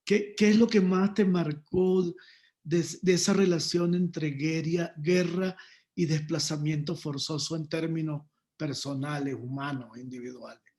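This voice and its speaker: A male moderator with a distinctly high-pitched voice leads a panel discussion. He builds reflective questions haltingly, circling back over his words. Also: steady tone, a little mellow.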